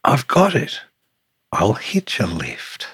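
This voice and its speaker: Man voicing a husky, yearning little sea snail. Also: low-pitched, sing-song, takes it slowly, full character voice. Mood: excited. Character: tiny female sea snail, yearning and adventurous, from a storybook.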